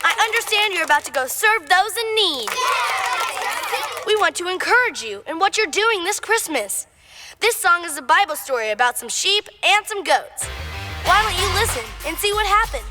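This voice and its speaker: Woman voicing very high, earnest puppet boy. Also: big pitch swings, normal speed, over loud music. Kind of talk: church puppet show acting.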